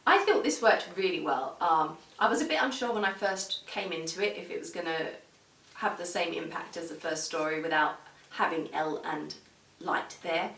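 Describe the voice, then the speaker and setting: A woman giving a casual, conversational video review in a measured way, talking through her opinions. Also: deep voice, fairly bright tone. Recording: echoey room, distant microphone.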